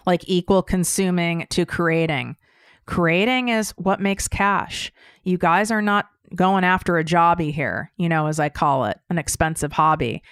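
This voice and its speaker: Emphatic American woman coaching, crisp and dry. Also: on the low side, fairly bright tone, no echo. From United States. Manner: emphatic, blunt, swears casually. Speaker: podcast host. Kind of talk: casual solo coaching talk.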